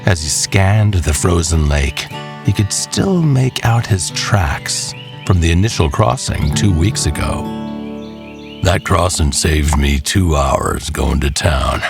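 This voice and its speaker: Deep-voiced, slow male storyteller over music. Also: animated, creaky. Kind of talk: scripted story narration.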